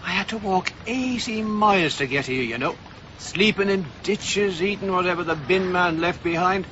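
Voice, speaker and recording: An actor playing a menacing, wheedling down-and-out estranged husband in a radio drama, oily, mock-hurt and taunting. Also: male voice, high-pitched, normal speed, muffled recording, background noise, lightly acted.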